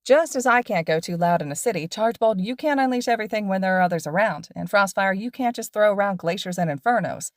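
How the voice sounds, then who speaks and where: A woman voicing a loud, cheerful male hero teacher with an exuberant, projecting manner. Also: medium pitch, lively intonation, fast, hardly any pauses, dry recording, studio quality, close to an everyday voice. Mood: upbeat.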